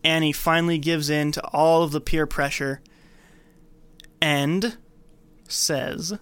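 A man's voice: fairly high pitch, little pitch movement, takes it slowly, fairly bright tone, smooth. A man co-hosting a podcast, casually recapping and commenting on film scenes. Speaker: podcast co-host.

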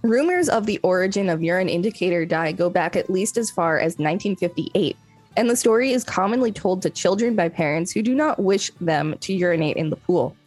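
A woman's voice: fairly low pitch, expressive, a little fast, darkish tone, soft background music. A woman co-hosting a podcast, moving between casual chat and reading an article aloud. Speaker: podcast co-host.

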